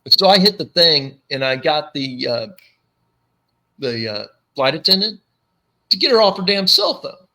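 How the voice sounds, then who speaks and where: A man's voice: normal speed, long pauses. A man hosting a podcast, telling funny stories in casual chat and acting out the people in them. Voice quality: low commanding voice. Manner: animated storyteller, acts out voices. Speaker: podcast host.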